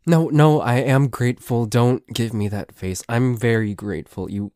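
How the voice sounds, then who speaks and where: A man's voice: medium pitch, normal speed, darkish tone, dry recording, clean studio sound, close to an everyday voice. A man playing a flustered, grumbling but secretly affectionate boyfriend in an ASMR roleplay, stammering and grudging as he talks. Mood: flustered, defensive. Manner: stammering, hesitant, grudging, sweary.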